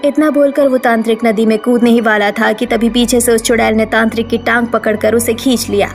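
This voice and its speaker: High-voiced woman storyteller. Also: fairly even intonation, fairly quick, faint music behind. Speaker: story narrator. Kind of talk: scripted storytelling narration.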